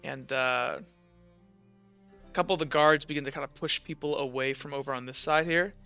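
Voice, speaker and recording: A man narrating a tabletop game as game master in a casual, halting, conversational way. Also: mid-range voice, fairly even intonation, pushed and bright, clear, resonant tone. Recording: slightly dull, lo-fi audio. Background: music.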